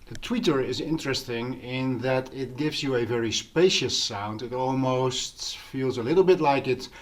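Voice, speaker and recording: A man hosting a casual audio gear vlog, explaining things informally and pausing mid-sentence as he goes. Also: medium pitch. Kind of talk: casual informal product talk to camera.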